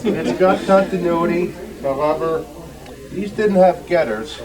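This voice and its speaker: Hesitant higher-pitched man, off mic. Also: measured pace, far from the mic, a loud crowd, rough recording. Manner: hesitant, broken phrases. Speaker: club member presenting his collection. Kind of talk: informal show-and-tell explanation. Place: club meeting room. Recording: recorded from off the mic.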